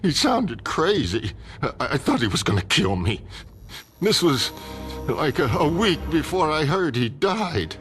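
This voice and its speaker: Man as a scared old retired villain, pleading, stammering, swooping pitch, music behind. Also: very animated, unhurried, a bit bright. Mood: frightened. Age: older. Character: frightened elderly retired male villain.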